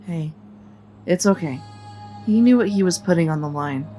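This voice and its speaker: A woman's voice: on the low side, normal speed, dark tone, faint music behind, close to an everyday voice. A woman playing a supportive, teasing female soldier in a sci-fi audio drama, talking casually. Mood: reassuring.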